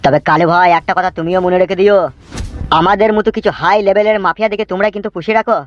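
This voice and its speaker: Fast-talking swaggering cartoon gangster, acted by a man. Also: high-pitched, fairly even intonation, muffled recording, slight background noise, natural voice. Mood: menacing swagger. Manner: crude, cocky, bragging. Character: swaggering boastful male gangster and mafia fixer. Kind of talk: comedy cartoon dubbing.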